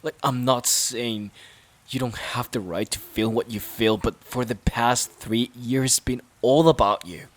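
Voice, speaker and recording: A man acting in an emotional audio drama as an exhausted, resentful boyfriend, halting and pleading with a breathy voice that often drops close to a whisper. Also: mid-range voice, fairly even intonation, natural voice. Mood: exasperated, exhausted. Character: exhausted, resentful boyfriend at his breaking point.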